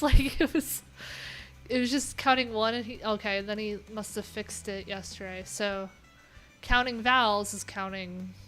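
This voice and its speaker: A woman's voice: mid-range voice, bright, forceful tone. A young adult woman hosting a coding livestream, talking casually and rambling with lots of fillers, with faint music in the background. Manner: chatty, rambling, many "like"s and "um"s.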